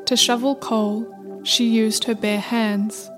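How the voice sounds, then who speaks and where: Woman narrator, fairly high voice, scripted folktale storytelling, clear music bed. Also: level tone, slow.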